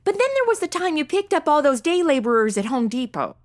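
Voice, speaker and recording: A woman's voice: sits high, big pitch swings, quick pace. A woman playing a sassy modern young woman in an audio comedy, sarcastic, blunt and exasperated. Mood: exasperated, fond. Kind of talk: comedy audio drama acting.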